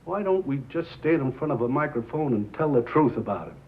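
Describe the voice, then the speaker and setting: An actor playing a mild, earnest small-town station owner, halting and formal, as if confessing, in a 1950s film drama. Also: male voice, mid-range voice, expressive, slightly rough. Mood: quietly challenging. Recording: muffled, hissy 1950s film soundtrack.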